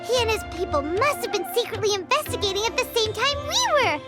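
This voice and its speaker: A woman voicing a tiny, excitable, childlike fairy companion in a video game, squeaky and cheeky. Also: fast talker. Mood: excited, curious. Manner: cheeky, indignant, exclamatory. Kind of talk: animated video game acting. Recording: music behind the voice.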